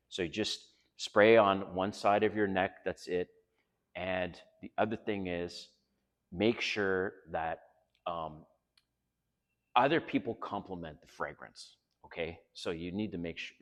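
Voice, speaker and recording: A man's voice: deep voice, in a large hall. An older man from Canada with a Canadian accent, giving blunt, frank dating advice straight to his viewers in a casual monologue.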